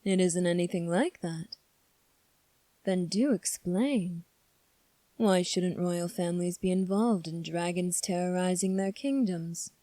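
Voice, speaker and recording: A woman playing a calm, sly young prince in an ASMR roleplay, speaking in a measured, formal, teasing way. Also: fairly low pitch, dry recording, lightly acted. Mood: coolly probing. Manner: measured, formal, coolly probing. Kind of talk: ASMR roleplay audio drama.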